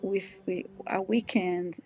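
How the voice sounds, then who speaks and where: A woman co-hosting a live stream in casual chat, with a hesitant, halting way of talking. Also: mid-range voice, steady tone, darkish tone.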